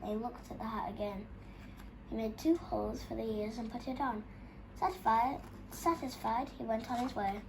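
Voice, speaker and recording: A woman reading a children's picture book aloud as an expressive storyteller. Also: on the high side, moderate pace, vocal fry, noisy background.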